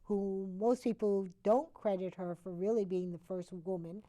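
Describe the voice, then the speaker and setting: A woman from Connecticut, a talk show guest, explaining history in a halting way with many fillers and self-corrections. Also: medium pitch, warm tone.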